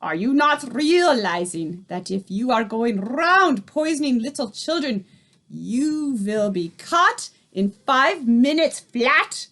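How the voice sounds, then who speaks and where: Woman reading aloud, shrieking tyrant witch, foreign-sounding broken grammar, high, loud, swooping. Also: big pitch swings, slow, heavily acted. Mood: enraged. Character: tiny furious female Grand High Witch leader.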